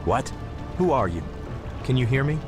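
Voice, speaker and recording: A man's voice: mid-range voice, sing-song, normal speed, natural voice. A man playing a defiant, wary human wizard who speaks bluntly and questioningly in a fantasy game cutscene. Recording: music behind voice. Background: music.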